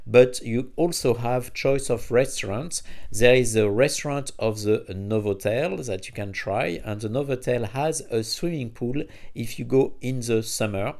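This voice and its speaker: A man's voice: medium pitch, darkish tone. Man narrating a road trip. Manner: halting, frequent uh fillers, non-native phrasing. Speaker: travel vlogger reviewing EV chargers. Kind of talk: casual travel vlog narration.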